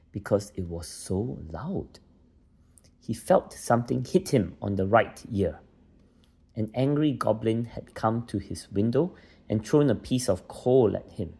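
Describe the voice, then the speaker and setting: A man's voice: on the low side, warm tone, husky. A man reading a children's bedtime story aloud as a narrator.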